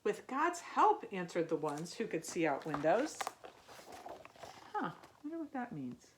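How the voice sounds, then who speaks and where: A woman reading a children's picture book aloud as a storyteller, expressive and musing, as if wondering aloud to young listeners. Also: fairly low pitch, a little slow, background noise. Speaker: youth ministry storyteller.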